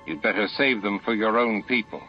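Man as a low-voiced, steady space captain. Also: fairly quick, close to an everyday voice. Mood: dry, firm. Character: steady, dutiful male starship captain. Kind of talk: audiobook character dialogue. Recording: muffled lo-fi audiobook with music.

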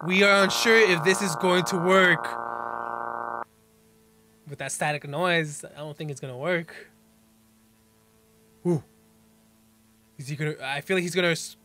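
A man's voice: fairly high pitch, a little slow, pauses often, pushed and bright. A man in his twenties giving casual reaction commentary into a close desk mic, reading captions aloud with slangy, exclamatory asides. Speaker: reaction video host. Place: small bedroom, indoors. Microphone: desk microphone up close, headphones on.

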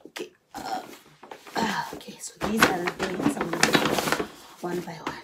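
A woman's voice: on the low side, moderate pace, heavy background noise. A woman, chatting casually through a haul vlog in her bedroom, repeating phrases and slipping into Zulu words. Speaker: vlogger. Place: bedroom, indoors. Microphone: phone camera at arm's length.

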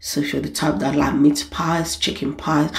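A woman from Nigeria hosts her home cooking show, chatting easily to her viewers and stressing what is a must. Her voice has a gravelly edge. It sits in an echoey kitchen room. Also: deep voice, little pitch movement, normal speed. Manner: chatty, repeats "guys" and "it's a must". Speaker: cooking channel host. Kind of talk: casual recipe voice-over.

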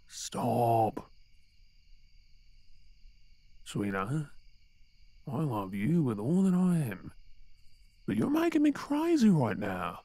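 A man's voice: mid-range voice, mellow, no room sound, full character voice. A man with an Australian accent playing an exasperated but tender boyfriend in an intimate, conversational audio roleplay. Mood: affectionate, reassuring.

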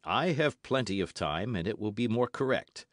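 A man acts out a gentlemanly musketeer of an adventure novel, proud and a little weary of the world. He speaks formally and with measured grace, in a lively, close, dry recording. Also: fairly low pitch, no echo. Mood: gracious, dry.

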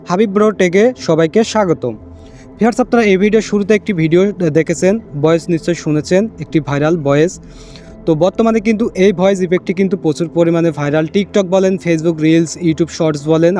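A high-voiced man talks quickly through a phone app tutorial. His tone is on the mellow side, and he explains each step casually, repeating it and mixing in English catchwords. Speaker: tech tutorial host. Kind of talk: casual screen-recorded how-to narration.

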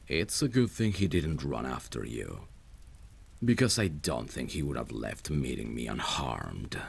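A man playing a protective, lovesick friend in a romantic ASMR roleplay, speaking tenderly and intimately in a husky, gravelly voice. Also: a bass voice, exaggerated voice. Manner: tender, soothing, unhurried. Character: protective lovesick male friend, gentle and devoted.

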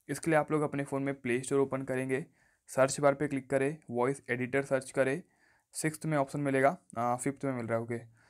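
Casting: male tutorial presenter, medium-pitched, casual explainer style with recurring stock phrases. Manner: instructional, often repeats stock phrases. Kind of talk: casual step-by-step phone tutorial. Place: voice-over on a phone screen recording.

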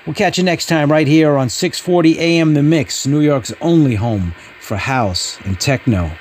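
Slow, halting man hosting a channel. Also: fairly high pitch, darkish tone, a little hiss or hum. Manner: halting delivery. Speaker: video channel host. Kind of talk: casual video outro, radio review.

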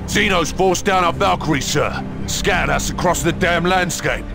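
Gruff, gravelly army sergeant. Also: male voice, a little high, normal speed, music in the background. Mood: urgent, tough. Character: tough, gruff human army sergeant in battle. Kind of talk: video game battle dialogue.

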